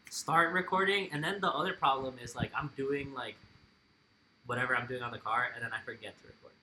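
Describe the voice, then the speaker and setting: A man's voice: mid-range voice, a little fast, pushed and bright, a little hiss or hum, poor audio. A man in his twenties from Mexico, a podcast guest chatting casually in a laid-back, rambling way and swearing freely. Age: 20s.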